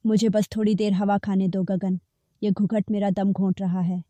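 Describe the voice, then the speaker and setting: A woman's voice: mid-range voice, flat delivery, moderate pace, mellow, no echo, lightly acted. A woman voicing an oppressed young wife in a cartoon story, pleading and sorrowful. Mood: pleading sad. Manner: pleading, sad.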